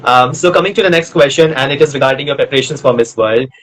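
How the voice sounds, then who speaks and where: A man's voice: medium pitch, fast, fairly bright tone, low-quality recording. A man from North India hosting a live online interview, chatty and friendly, rambling with fillers as he asks his questions.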